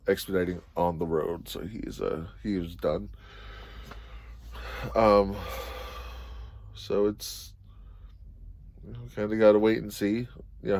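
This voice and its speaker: A man with a fairly low voice talks loosely and unhurriedly, filling pauses with ums. He is recorded inside a van, and the sound is close and dry with no echo. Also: a little mellow. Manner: rambling and easygoing, many ums and you-knows. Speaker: van expediting driver vlogger. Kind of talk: casual talking-head vlog.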